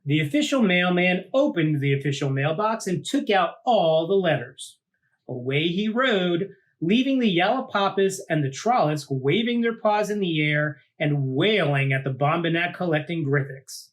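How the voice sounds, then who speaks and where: A man reading a children's picture book aloud like a teacher, with deliberate dramatic phrasing and drawn-out words. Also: a little high, expressive. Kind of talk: animated storybook read-aloud for children.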